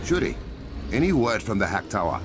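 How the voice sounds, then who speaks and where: An actor playing a proud, protective warrior king in a video game, speaking formally with measured, menacing command. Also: on the low side, big pitch swings. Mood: focused, urgent. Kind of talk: dramatic video game acting.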